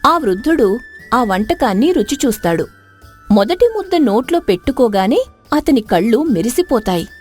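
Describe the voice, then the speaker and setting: A woman's voice: fairly high pitch, big pitch swings, normal speed, a little mellow. A woman storyteller reading a scripted moral story as narrator. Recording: dry studio narration over music.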